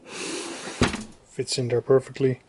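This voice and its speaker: A man giving a casual, unscripted hands-on equipment demonstration, halting and matter-of-fact as he goes. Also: medium pitch, completely flat delivery. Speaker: radio hobbyist demonstrating equipment. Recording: faint background noise.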